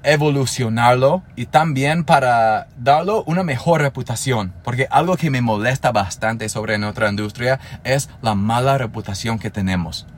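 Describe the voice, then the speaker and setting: A man's voice: mid-range voice, normal speed, hardly any pauses, a little hiss or hum. A man in his thirties, a native English speaker talking in Spanish, hosting a solo podcast in an earnest, motivational way with frequent restarts. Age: 30s. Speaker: podcast host.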